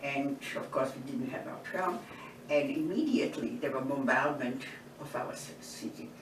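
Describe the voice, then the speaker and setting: A woman, from eastern Poland, giving oral history testimony in an unhurried storytelling way, often restarting her phrases. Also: rumbling low. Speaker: Holocaust survivor interviewee. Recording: roomy, distant mic, background noise.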